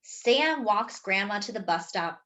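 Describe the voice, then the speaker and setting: Animated, bright-voiced woman reading a picture book. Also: medium pitch. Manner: animated, pausing to explain words. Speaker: storyteller reading a children's picture book aloud. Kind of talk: expressive read-aloud narration for young children.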